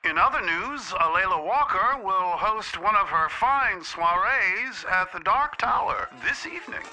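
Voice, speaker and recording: A man's voice: sits high, animated, unhurried, sharp tone, heavily acted, poor audio. A man playing a 1920s radio newsman, reading a bulletin in a formal, declamatory style. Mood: urgent, formal. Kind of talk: 1920s radio news acting.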